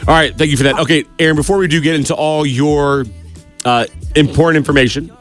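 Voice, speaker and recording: A young man hosting a community radio show, talking loudly and boisterously in casual sports chat. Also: a little high, steady tone, quick pace, soft background music. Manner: loud, boisterous, says he has a big voice. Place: radio studio.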